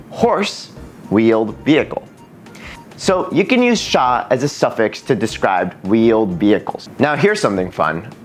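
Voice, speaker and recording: A young man who grew up speaking Japanese and English, hosting a scripted educational video with a lively, playful delivery. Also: medium pitch. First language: bilingual in Japanese and English. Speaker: language educator and video host.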